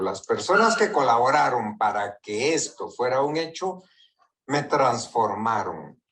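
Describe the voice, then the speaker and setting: A mature man with a Guatemalan accent records a personal testimonial. He speaks slowly, phrase by phrase, as in a prepared message. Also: medium pitch, a bit bright. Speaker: patient giving a testimonial.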